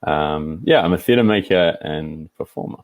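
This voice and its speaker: A man's voice: very deep voice, measured pace, no echo, clean studio sound. A man from Melbourne, a theatre maker and performer, talking reflectively on an online panel with many fillers. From Melbourne, Australia. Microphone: video call.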